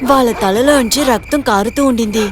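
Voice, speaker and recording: A woman narrating an animated moral story in a scripted storytelling style. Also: fairly high pitch, moderate pace, over music.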